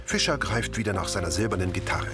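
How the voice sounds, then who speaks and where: Male describer for a crime series, low voice, varied intonation, prominent music underneath. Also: lively intonation, fast talker. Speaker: audio description narrator. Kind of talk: scripted narration of a TV crime series.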